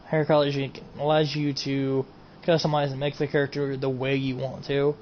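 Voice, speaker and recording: A man's voice: mid-range voice, completely flat delivery, moderate pace. Guy rambling about game mods on stream, keeps saying and everything, dull muffled mic, faint hiss. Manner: rambling, repeats himself, trails off with "and everything". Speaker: gaming streamer. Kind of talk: casual mod showcase. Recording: dull lo-fi home recording, faint noise.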